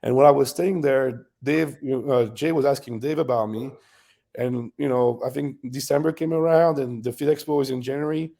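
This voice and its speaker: Gravelly French-accented man, warm tone. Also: mid-range voice, a little fast. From France. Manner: relaxed, laughs often, frequent fillers. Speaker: guest bodybuilder. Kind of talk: casual interview storytelling.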